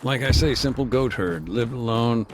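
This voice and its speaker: An actor playing a weary male aid worker who recounts events in a measured, laconic way during an interview in a video game. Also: medium pitch, background noise, lightly acted. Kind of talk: game cutscene dialogue.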